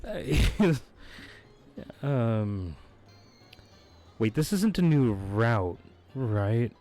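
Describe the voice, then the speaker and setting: A man streaming a video game, hoarse from losing his voice, chatting in a laid-back, rambling way with frequent chuckles, close on his microphone. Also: medium pitch, big pitch swings, drawn-out words, mellow, faint music behind. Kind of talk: casual live-stream chat. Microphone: close desk microphone.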